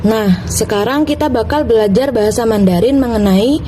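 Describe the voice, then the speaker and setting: Young woman, clearly noisy recording. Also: normal speed, dark tone. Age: young adult. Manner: reading prepared material. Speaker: student podcast co-host. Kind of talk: scripted educational explanation. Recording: noisy, vehicle-like ambient rumble.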